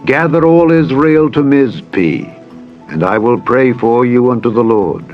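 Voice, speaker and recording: A man's voice: medium pitch, animated, a little slow, muffled recording, soft background music, lightly acted. A man voicing a stern prophet in dramatized scripture, exhorting in a declamatory, commanding way. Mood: solemn urging.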